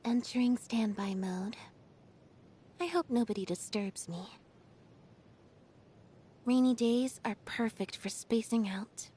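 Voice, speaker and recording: A young female magician's assistant from a video game, with a fairly high voice. She is aloof and deadpan, speaking in a reserved, matter-of-fact way that sounds a little drowsy. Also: takes it slowly, pauses often, breathy edge. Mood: drowsy, evasive. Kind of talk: video game character voice lines.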